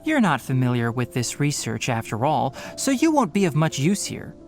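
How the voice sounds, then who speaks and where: A calm, rational young ranger. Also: male voice, mid-range voice. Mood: firm. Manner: measured, explanatory, firm when giving instructions. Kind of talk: studio game voice acting. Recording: game audio with faint music underneath.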